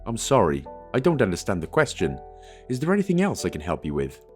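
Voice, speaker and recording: An unfeeling chatbot, voiced by a male narrator answers in flat, robotic phrasing. Its lines come out quick and even. Faint music runs underneath. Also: on the low side. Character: cold, emotionless chatbot assistant.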